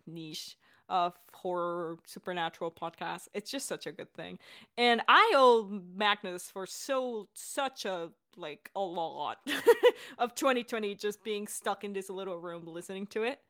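A woman's voice: mid-range voice, lively intonation, normal speed, fairly bright tone. A woman director and voice actor talking in a chatty, enthusiastic, giggly way in a casual, unscripted Q&A. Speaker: audio drama director and voice actor, hosting a Q&A.

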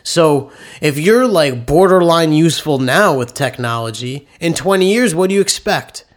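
A man with a nasal, slightly high voice, talking through money on a casual podcast. He explains with quick, opinionated enthusiasm. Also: animated. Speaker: podcast co-host, author.

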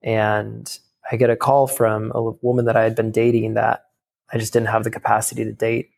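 A young man telling a candid personal story in casual talk, halting, with many fillers and restarts. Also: fairly low pitch, level tone, creaky, a little mellow. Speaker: guest.

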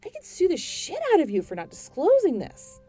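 A woman voicing a foul-mouthed young homeowner who yells and swears in a spoken story. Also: sits high, wildly swooping pitch, a little fast, faint music behind, pitched above the performer's own voice. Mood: furious. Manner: shouting, swearing.

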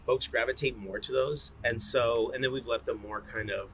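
Man, bright forceful voice, informal rambling talk, conversational interview, faint background noise. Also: mid-range voice, steady tone, normal speed. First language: bilingual English and fluent Spanish. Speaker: coffee roaster, interview guest. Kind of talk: casual conversational interview answers.

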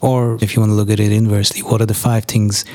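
A man's voice: fairly low pitch, level tone, quick pace, darkish tone. A man hosting a podcast, interviewing an artist in a conversational style.

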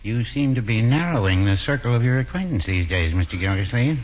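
An actor playing a mild, cautious, reluctant friend in a 1940s radio comedy, dry, hesitant and husky. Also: male voice, medium pitch, expressive, fairly quick, close to an everyday voice. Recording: muffled 1940s radio recording.

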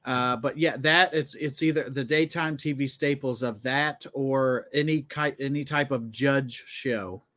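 A man's voice: medium pitch, steady tone, a little slow, hardly any pauses, a bit bright. A man co-hosting a casual comedy podcast, telling stories in a hesitant, meandering way. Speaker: podcast co-host.

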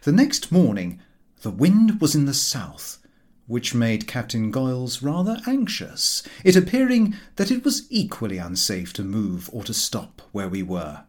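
A man reading a comic literary story aloud as an audiobook narrator, in an expressive storytelling manner. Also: medium pitch, a little slow. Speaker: audiobook reader.

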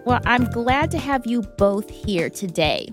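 A woman's voice: a little high, expressive, unhurried, music in the background. A woman in her forties hosting an interview podcast, talking in an easy conversational way and laying out facts before asking her guests questions. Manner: warm conversational, sets context with statistics. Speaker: podcast host.

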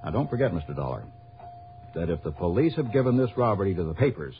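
An anxious American ex-convict trying to sound respectable, with a low, husky voice that swings expressively. He is genteel, evasive and hesitant in an old radio drama, on a muffled recording. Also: very animated, fast, slight background noise. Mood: earnest, urgent. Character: anxious reformed ex-convict robbery victim, proper and evasive. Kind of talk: 1960 radio drama acting.

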